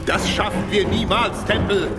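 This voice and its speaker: An anxious gentleman official acted by a man in a radio drama. His voice sits high for a man and sounds easily alarmed. Loud music plays behind him. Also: steady tone, moderate pace, natural voice. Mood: alarmed, despairing. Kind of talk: radio play acting in German.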